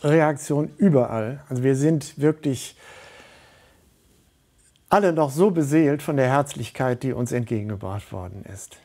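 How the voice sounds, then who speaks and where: A man's voice: mid-range voice, unhurried, mellow. A middle-aged man speaking as a guest in a studio interview, earnest and hesitant, with many fillers. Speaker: studio guest, volunteer organizer.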